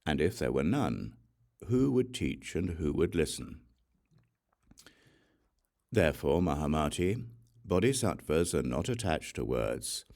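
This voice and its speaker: A man with an extremely low, gravelly voice reads sacred text as an audiobook. His delivery is solemn and deliberate, and his pitch moves expressively through each measured phrase. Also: a little mellow. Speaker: audiobook reader. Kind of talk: solemn scripture narration.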